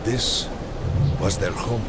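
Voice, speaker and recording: An actor playing a mystical, urgent guide in a video game, with a solemn, portentous delivery that swings into urgent cries. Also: male voice, slow pace, very noisy, lightly acted. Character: mystical, urgent male guide leading visions of the past. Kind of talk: dramatic video game acting. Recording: loud music behind the voice.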